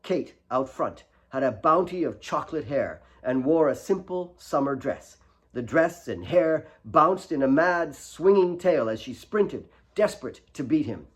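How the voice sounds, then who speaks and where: Male fiction narrator; fairly high, very animated voice, expressive storytelling. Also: a little slow, husky. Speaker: author reading own fiction.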